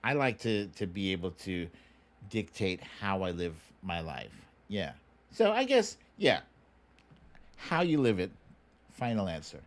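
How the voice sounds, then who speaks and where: A middle-aged American man hosting a livestream, chatting casually and answering viewer questions in an unhurried, musing way with pauses. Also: low-pitched, very animated. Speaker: livestream show host.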